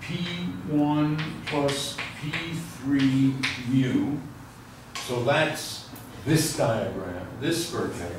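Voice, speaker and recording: A man's voice: medium pitch, drawn-out words, noisy background. An older man teaching a university physics class, telling rambling anecdotes and working equations at the board. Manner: rambling anecdotal storytelling, self-interrupting. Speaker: physics professor. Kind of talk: university lecture. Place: large lecture room with chalkboards. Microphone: far room mic. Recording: echoey lecture hall from far off.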